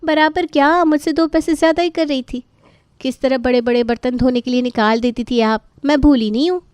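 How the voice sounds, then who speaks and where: Woman doing an indignant young daughter-in-law, accusing, high clear voice, cartoon story. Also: fairly quick, a little mellow, dry recording, lightly acted. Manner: argumentative, pointed. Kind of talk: animated moral story dialogue.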